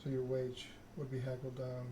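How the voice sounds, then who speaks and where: Man, game streamer, soft measured ASMR delivery, flat intonation, noticeable noise behind the voice. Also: mid-range voice, rough recording. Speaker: game streamer reading aloud and chatting with viewers. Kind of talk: ASMR narration of a text game with casual chat. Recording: clear background noise.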